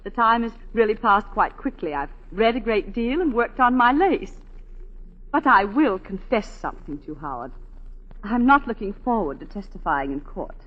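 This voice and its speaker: A woman's voice: medium pitch, very animated, moderate pace. An actress playing a poised, self-possessed married woman with a genteel, confiding manner in a 1940s radio drama. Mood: gracious, composed. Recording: muffled 1940s radio recording.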